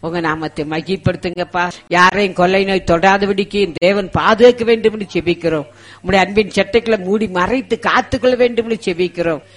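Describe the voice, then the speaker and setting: High-pitched man pleading in fervent prayer. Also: fairly quick, fairly bright tone. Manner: pleading, repeats phrases urgently. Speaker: prayer leader.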